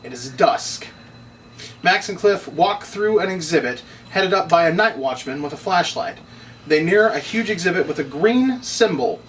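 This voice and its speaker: A man's voice: a little high, expressive, normal speed. A man reading stage directions as scripted narration at a table read. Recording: distant mic, noisy room ambience.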